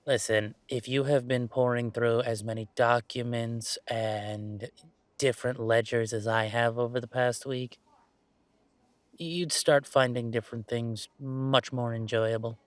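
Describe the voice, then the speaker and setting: Casting: male actor as werewolf gamer boyfriend type for roleplay audio, mid-pitched, conversational, weary, sighing, teasingly defensive, dry studio sound. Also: steady tone, measured pace, no echo, close to an everyday voice. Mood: relaxed, wry. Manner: sighing, bantering, mock-defensive complaining. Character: grumbling, playful werewolf gamer streamer, weary but fond. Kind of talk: one-sided roleplay audio drama, conversational.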